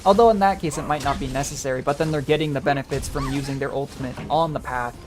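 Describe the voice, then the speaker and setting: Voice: mid-range voice, quick pace. A game streamer giving casual gameplay commentary, rambling and thinking aloud. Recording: game music behind the voice. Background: video game music.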